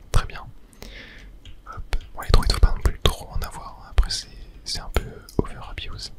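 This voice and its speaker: An ASMR game host speaks entirely in a breathy whisper, with no voiced tone. The delivery is casual, like someone thinking aloud while playing and explaining each step to viewers. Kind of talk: whispered ASMR gaming commentary.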